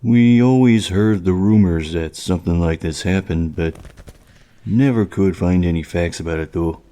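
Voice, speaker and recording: A man acting as a stolid small-town police officer in an audio drama, measured and dryly understated, with a philosophical streak. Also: natural voice. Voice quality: low, mellow voice.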